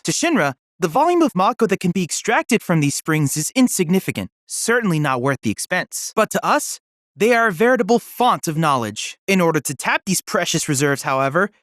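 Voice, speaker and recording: A woman's voice: fairly low pitch, dry recording, clean studio sound. A woman playing a polite, eager boyish android research assistant in a video game, speaking in a formal, precise, bookish way. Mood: polite, instructive. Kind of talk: scripted video game voice lines.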